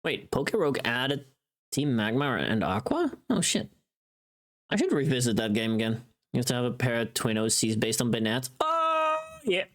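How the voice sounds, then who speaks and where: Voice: mid-range voice, huge pitch swings, moderate pace, fairly bright tone. A livestreamer chatting casually while working, rambling and muttering to themself. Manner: rambling, muttering to self, humming. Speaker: livestreamer, voice actor.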